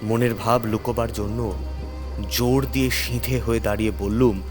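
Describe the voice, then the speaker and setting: A man's voice: medium pitch, flat delivery, moderate pace. A man narrating a scripted horror story in the first person, with a suspenseful, theatrical delivery. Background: music under the voice.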